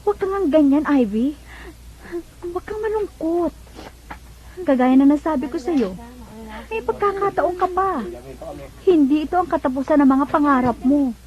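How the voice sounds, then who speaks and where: A woman's voice: high voice, expressive, normal speed, long pauses. An actress playing an earnest, encouraging young female friend in a Filipino radio drama. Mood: urging. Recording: dull lo-fi radio drama recording.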